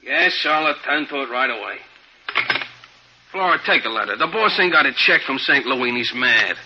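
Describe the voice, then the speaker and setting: An actor playing a simple, lovestruck clerk with a plaintive, slangy manner, in 1950s radio style. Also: male voice, mid-range voice, lively intonation, normal speed, noisy background, lightly acted. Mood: businesslike. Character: simple, lovestruck, uneducated male shipping clerk. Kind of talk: 1950s radio comedy-drama acting. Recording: muffled 1950s radio recording.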